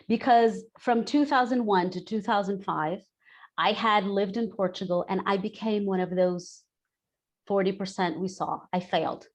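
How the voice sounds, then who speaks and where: Chatty woman coach from Brazil. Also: mid-range voice. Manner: chatty, candid, restates herself. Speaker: expat coach. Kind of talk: webinar presentation.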